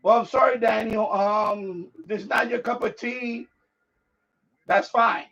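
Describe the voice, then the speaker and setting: Really high voiced guy ranting on a stream, swears a lot, bit lo-fi. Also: fairly even intonation, a little slow, gravelly, a bit bright, faint music behind. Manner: blunt, sweary, combative. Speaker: live streamer. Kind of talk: casual live stream commentary.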